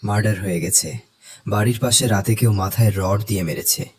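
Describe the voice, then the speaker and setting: A man voicing a gang associate in a horror story, gravely and tersely reporting bad news over the phone. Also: on the low side, a little fast, very noisy, pitched below the performer's own voice, close to an everyday voice. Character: male gang associate phoning in grim news.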